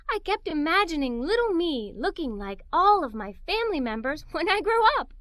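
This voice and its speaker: A woman reading a children's story aloud in the voice of a young girl narrator, with a theatrical, expressive delivery. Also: high-pitched, no room sound, studio quality.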